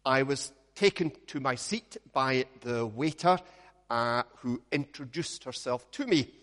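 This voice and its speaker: A Scottish man, a minister, leads a prayer and then preaches, telling stories with a measured pace and gentle humor. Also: medium pitch, lively intonation, long reverb tail. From Scotland. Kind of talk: sermon with opening prayer.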